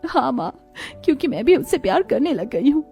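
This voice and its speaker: A woman's voice: high-pitched, big pitch swings, brisk, creaky, warm tone, faint music behind, exaggerated voice. A woman voicing a sincere, modest young village woman in a cartoon family story. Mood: worried, tender. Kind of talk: animated family drama character voice.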